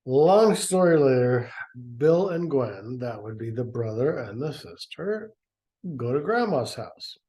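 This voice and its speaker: Mellow-voiced man with sarcastic asides. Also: mid-range voice, big pitch swings, a little slow, rough recording. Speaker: reader and commentator. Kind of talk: dramatic reading with sarcastic commentary.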